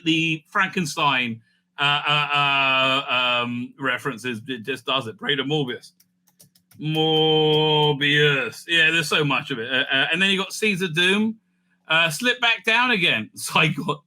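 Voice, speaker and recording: A man giving casual, rambling fan commentary as he ranks things, excitable and sweary, sometimes muttering to himself. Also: a little high. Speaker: host of a fan ranking show.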